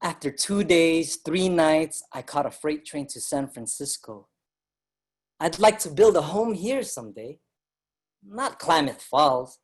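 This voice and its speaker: A man's voice: fairly high pitch, animated, measured pace, slightly rough. An actor, a man, playing an earnest, determined young Filipino migrant laborer who narrates a staged drama. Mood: reflective narration. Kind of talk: dramatic staged reading, first-person narration. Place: virtual live event, remote reading.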